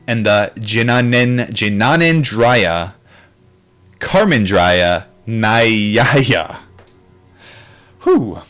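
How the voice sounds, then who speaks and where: A man's voice: medium pitch, fairly bright tone. A man reading aloud from a book in an informal way, sometimes adding casual commentary and stumbling over difficult terms. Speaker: book reader, streamer.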